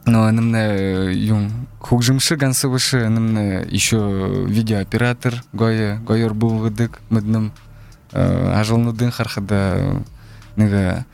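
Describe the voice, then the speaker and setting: A man interviewing guests in relaxed conversation, giving brief encouraging acknowledgments. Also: on the low side, unhurried, creaky, soft background music.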